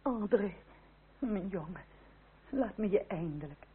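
A woman's voice: mid-range voice, animated, measured pace, close to an everyday voice. An actress playing a timid, anguished noble mother in an old radio melodrama, faltering and pleading. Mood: hurt, pleading. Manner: faltering, pleading, breathless exclamations. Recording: old radio recording, phone-like, faint noise.

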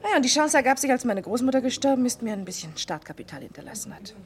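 Lively, independent young woman. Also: on the high side, racing. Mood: animated, modest. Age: young adult. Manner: playful, animated, chatty. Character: lively, independent young businesswoman, teasing and self-deprecating. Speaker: actress in a radio crime drama. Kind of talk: German radio play acting, conversational dialogue.

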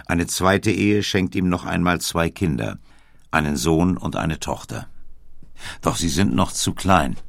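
Very deep, gravelly male narrator. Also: expressive, no room sound, studio quality. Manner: sober, measured. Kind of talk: scripted documentary narration.

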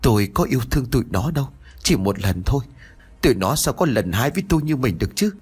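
A man's voice: mid-range voice, sing-song, quick pace, slightly rough. A man voicing a guilty, frightened old lord who stammers and pleads, in a spoken horror story. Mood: nervous, pleading.

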